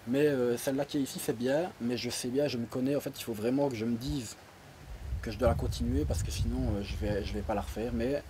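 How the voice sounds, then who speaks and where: A man in his twenties from Belgium with a Liège accent, answering interview questions hesitantly with many pauses and fillers. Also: mid-range voice, steady tone, background noise. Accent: Liège Belgian French. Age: 20s. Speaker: interview guest. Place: outdoors by a pool.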